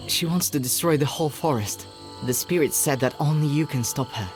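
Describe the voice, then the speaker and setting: A man's voice: on the high side, brisk, faint music behind, natural voice. An actor playing a polite, earnest young boy in a fantasy game who seeks to save his sister, speaking a little hesitantly. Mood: earnest pleading. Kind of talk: game dialogue.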